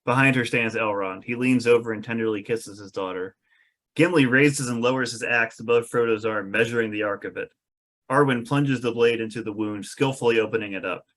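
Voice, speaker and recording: A man reading scripted stage directions for a fantasy screenplay as narrator, in measured storytelling style. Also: mid-range voice, a bit bright.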